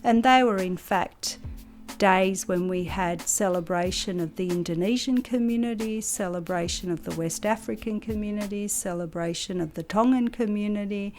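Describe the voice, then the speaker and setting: A woman speaking for a community centre, measured and hesitant, with fillers. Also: mid-range voice. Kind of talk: interview talk.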